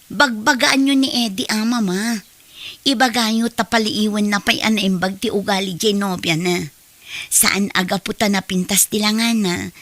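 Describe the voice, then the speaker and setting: Woman as reasonable young daughter, audio drama, calm advising tone, mid-range voice, dry studio sound. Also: mid-range voice, no echo, lightly acted.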